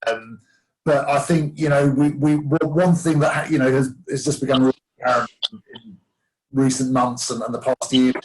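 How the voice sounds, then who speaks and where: A man's voice: medium pitch, steady tone, normal speed, darkish tone, low-quality recording. Thoughtful man on a podcast. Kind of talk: reflective podcast chat.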